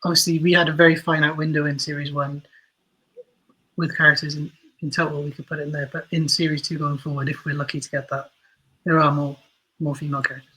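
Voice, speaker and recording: A man's voice: on the high side, flat delivery, fast talker, bright, forceful tone, slightly rough, low-quality recording. A man being interviewed as an audio drama writer, talking reflectively and a little hesitantly as he thinks aloud.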